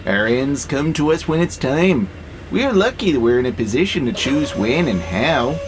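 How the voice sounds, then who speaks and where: An actor playing a gentle, philosophical freight robot in an audio drama, calmly persuasive and playfully sarcastic. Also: male voice, mid-range voice, moderate pace, noisy background, lightly acted. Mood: earnest. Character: gentle, wry, philosophical male freight-hauling robot, peaceable.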